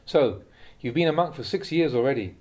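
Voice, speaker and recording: A man reads translated Buddhist teachings aloud in a measured, instructive way with clear phrasing. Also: medium pitch, animated, a little mellow. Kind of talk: audiobook-style reading of spiritual talks.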